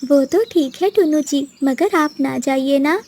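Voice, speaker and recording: A devoted young mother bird from a children's cartoon, voiced by a woman. She is high-pitched and soft-toned, earnest and plaintive, with an anxious temper. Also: measured pace.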